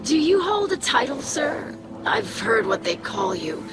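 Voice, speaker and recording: An actress voicing a polite, eager young alien scribe in a video game, speaking formally and precisely. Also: female voice, a little high, sing-song, a little slow, over loud music. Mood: polite, curious. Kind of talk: video game cutscene acting.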